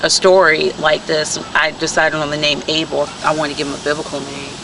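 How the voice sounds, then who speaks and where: A woman's voice: deep voice, level tone, fairly quick, fairly bright tone. Woman author chatting amid background noise. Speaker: author being interviewed. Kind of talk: casual explanatory chat. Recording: amateur video, noisy background.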